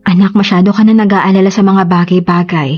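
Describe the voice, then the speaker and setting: Woman acting gentle mother, muffled over music. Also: mid-range voice, little pitch movement, quick pace, lightly acted. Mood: apologetic, evasive. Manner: soft, emotional, reassuring. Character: gentle, apologetic, loving mother of young child. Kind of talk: Tagalog radio drama acting.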